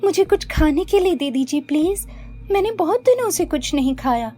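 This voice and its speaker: A woman's voice: high voice, lively intonation, normal speed, dark tone, pitched above the performer's own voice. A woman voicing a sweet young village girl in a cartoon story, coy, polite and pleading. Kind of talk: Hindi cartoon story acting.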